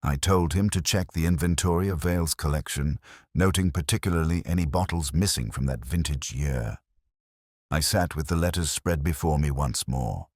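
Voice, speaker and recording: A man playing a brooding, methodical detective inspector, narrating a mystery in a measured, grave, literary manner. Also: a bass voice, a little mellow, no echo, studio quality. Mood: intent, analytical. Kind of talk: scripted first-person mystery narration.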